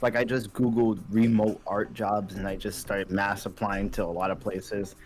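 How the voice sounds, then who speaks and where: A man's voice: fairly low pitch, flat delivery, normal speed. A man chatting casually as an artist co-hosting a game development stream. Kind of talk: casual chat. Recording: music under the voice. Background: background music.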